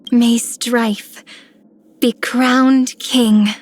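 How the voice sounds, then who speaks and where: A woman's voice: a little high, little pitch movement, drawn-out words, faint music behind. A woman playing a playful, easygoing young female trickster in a video game. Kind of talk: scripted character voicelines.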